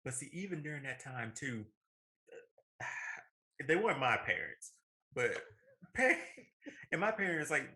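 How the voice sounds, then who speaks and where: Bright-voiced American man, enthusiastic and emphatic. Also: on the low side. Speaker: co-host of a movie and video game show. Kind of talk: casual opinionated movie discussion.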